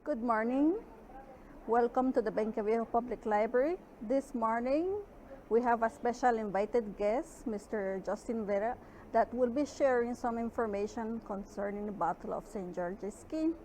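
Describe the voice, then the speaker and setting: A woman's voice: a little high, moderate pace, warm tone, rough recording. A woman hosting at a library, formally welcoming listeners and introducing a guest. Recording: background noise in the recording.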